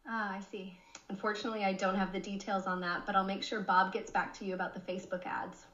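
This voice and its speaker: A woman's voice: mid-range voice, level tone, quick pace, bright, forceful tone. A synthetic woman's voice working as a phone receptionist, speaking in a polite, helpful customer service style. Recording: dull, echoey playback of AI call.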